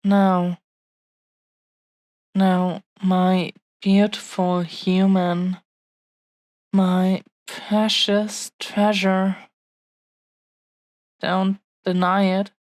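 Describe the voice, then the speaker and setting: Woman doing a devoted dragon girl for romantic audio rp, super slow n halting, possessive but tender, really dry recording. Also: mid-range voice, little pitch movement, darkish tone, no echo. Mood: earnest, devoted. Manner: slow, halting, breathy murmurs with lingering pauses. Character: tender, possessive dragon-blooded woman, newly engaged, adoring. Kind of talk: intimate romantic audio roleplay.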